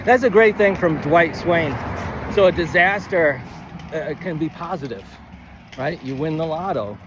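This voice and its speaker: Against a noticeable backdrop of outdoor traffic noise, a man with a slightly high voice teaches informally as a writing coach. He rambles and stammers, restarting his thoughts and drifting into digressions as he thinks out loud. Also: a little slow. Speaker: writing coach, presenter of a video series. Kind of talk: rambling informal lesson to camera.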